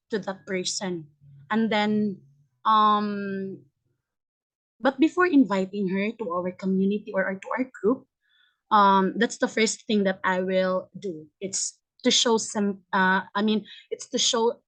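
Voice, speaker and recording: A woman answering interview questions on a video call. She speaks very slowly and chooses her words with care. Her pitch is ordinary. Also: rough recording. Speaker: virtual assistant candidate.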